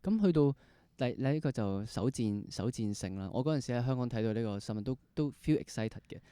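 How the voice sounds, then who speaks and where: A man's voice: medium pitch, animated, fairly quick, dark tone, smooth, no echo. A man with a Hong Kong Cantonese accent hosting a conversational interview, engaged and mixing English words into his questions. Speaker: interview host.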